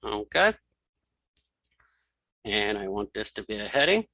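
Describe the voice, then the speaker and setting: A man giving a casual software tutorial, thinking aloud as he demonstrates each step. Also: fairly low pitch, big pitch swings, unhurried, no echo. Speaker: instructor.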